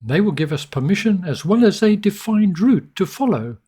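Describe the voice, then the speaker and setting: A man's voice: high voice, sing-song, normal speed, dark tone, breathy edge. A man narrating an instructional tutorial in an orderly, explanatory way. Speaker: flight sim tutorial narrator.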